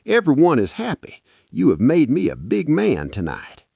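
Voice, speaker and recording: A man's voice: on the high side, huge pitch swings, normal speed, vocal fry, warm tone, slightly rough, no room sound, studio quality, voice pushed up, exaggerated voice. A man voicing a proud, doting Nigerian husband in a folktale, effusive and sweet-talking. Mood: proud, affectionate. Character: proud, doting husband praising his wife.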